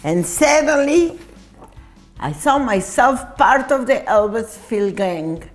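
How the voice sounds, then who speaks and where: A woman reading a children's story aloud in an expressive, deliberate way, occasionally stumbling over words. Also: on the high side, faint music behind. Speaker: storytime reader.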